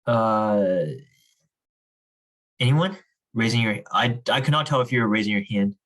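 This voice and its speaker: A man's voice: on the low side, animated, unhurried. A man leading an online reading club in a casual, encouraging, informal way. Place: online group video call.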